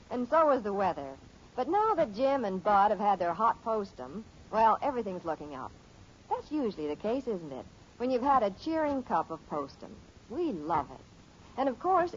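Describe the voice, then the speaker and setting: An American woman playing a cheerful, gently teasing housewife and mother in 1950s radio comedy. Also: mid-range voice, lightly acted. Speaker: actress in a radio sitcom. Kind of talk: 1950s radio sitcom acting. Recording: dull old radio recording, faint noise.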